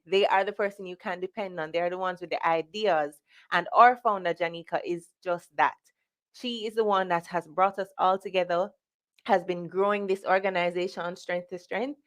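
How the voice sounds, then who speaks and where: A Jamaican woman hosting a live online event in an upbeat, welcoming way. Her voice sits at an ordinary middle pitch for a woman. She moves easily through greetings and introductions. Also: a bit bright. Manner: upbeat, welcoming roll call of viewers. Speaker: conference host.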